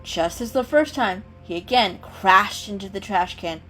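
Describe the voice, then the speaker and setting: A woman's voice: mid-range voice, animated, a little slow, a bit bright, soft background music. A woman reading a children's story aloud as dramatic narration, in a storyteller's style.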